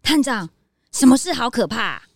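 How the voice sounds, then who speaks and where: A woman's voice: on the high side, big pitch swings, normal speed. A woman voicing an earnest, skeptical young detective's sidekick in a children's audio drama, questioning and protesting with exclamations. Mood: doubtful, protesting.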